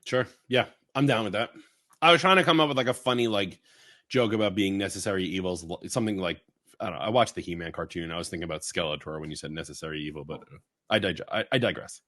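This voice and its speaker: A man from Long Island, co-hosting a podcast in rambling, animated casual chat. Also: sits low, quick pace. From Long Island, New York. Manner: rambling, animated storytelling with fillers and asides. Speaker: podcast co-host.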